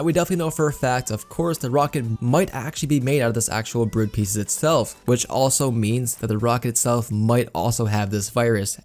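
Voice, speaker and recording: A man's voice: mid-range voice, a little mellow. A man hosting a gaming news video, explaining updates in YouTube commentary style with repeated emphasis words. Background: background music.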